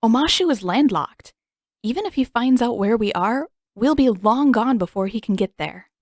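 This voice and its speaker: A cheerful, playful young boy who bubbles with eagerness, as a woman reading a storybook puts him on. His voice sits noticeably higher and brighter than the narrator's own. The recording is close and dry. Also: expressive, normal speed, no room sound. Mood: excited. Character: cheerful playful twelve-year-old boy monk.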